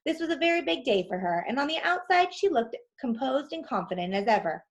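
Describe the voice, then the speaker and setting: A woman narrating a children's storybook aloud in an expressive style. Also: high-pitched, fairly quick, lo-fi sound.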